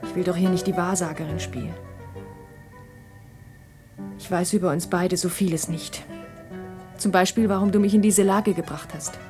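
An actress in a German radio drama playing a resigned, cryptic wife who has seen the future. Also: female voice, fairly low pitch, fairly even intonation, normal speed, long pauses, dark tone, soft background music, close to an everyday voice.

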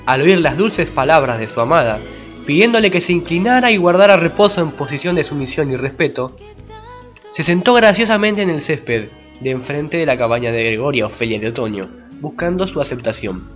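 A man narrates a mock-romantic serial in a florid, melodramatic style. His voice sits fairly high, with music behind it. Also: lively intonation, a little fast.

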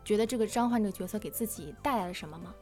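A woman's voice: medium pitch, very fast, dark tone, faint music behind. A woman hosting a casual interview, asking questions in a conversational way. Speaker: host.

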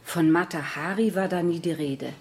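An actress playing a woman witness in a courtroom radio play, halting and searching for words as she tries to recall. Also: lowish voice, darkish tone, lightly acted. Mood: hesitant, searching.

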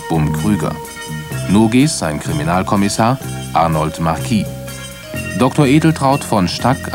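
A man making a formal, scripted radio announcement with measured enunciation. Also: sits low. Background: music.

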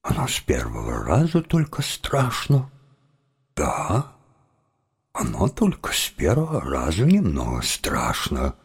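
A frightened, very deep husky mutterer. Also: male voice, huge pitch swings, full character voice. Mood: nervous self-reassurance. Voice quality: husky, breathy low murmur. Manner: muttering slowly to himself. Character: frightened young seminary philosopher reassuring himself, timid.

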